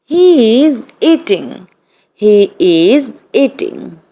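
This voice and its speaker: A woman reading short practice sentences aloud for learners, in an even, deliberate read-aloud style that says each sentence twice. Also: a little high. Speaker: English practice reader.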